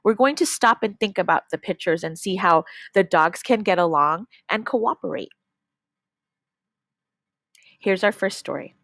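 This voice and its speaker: A woman reads to young children as their teacher, with lively, swinging intonation. Her delivery is expressive and instructional, built for a storybook read-aloud, and the sound is dry and close. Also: medium pitch, no echo. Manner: expressive, instructional, pausing to pose questions. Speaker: teacher reading aloud to pupils.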